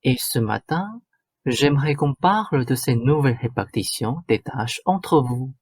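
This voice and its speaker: A man's voice: medium pitch, animated, a little slow, husky, dry recording. A man playing a bossy, short-tempered stepmother in a radio drama, curt and lecturing. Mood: bossy, formal. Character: domineering, short-tempered stepmother laying down the law. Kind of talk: stage-like radio drama acting.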